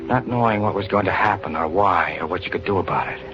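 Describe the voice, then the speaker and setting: A brave, plain-spoken young fellow acted by a man talks low, halting and earnest, a little bashful. It is vintage radio drama, muffled and clearly noisy. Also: lightly acted. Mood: grimly resolved. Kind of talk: 1940s radio acting.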